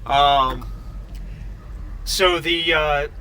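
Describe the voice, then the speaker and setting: A man narrating a tabletop game as game master, in a halting way with repeated starts. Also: fairly high pitch, steady tone, sharp tone, recorded from a distance, a little hiss or hum.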